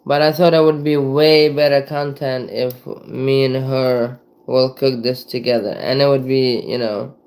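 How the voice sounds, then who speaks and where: A young man from Ukraine with a Ukrainian accent, chatting casually to viewers on a live stream, rambling with halting restarts and lots of "you know". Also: medium pitch, little pitch movement, darkish tone. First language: Ukrainian and Russian.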